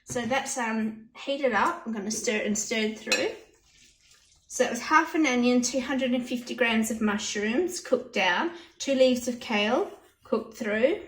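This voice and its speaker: A hoarse woman chatting through a cooking demonstration in her home kitchen, explaining each step plainly. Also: fairly high pitch, measured pace, fairly bright tone, slight background noise. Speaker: home cooking channel host. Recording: roomy kitchen audio from a distance.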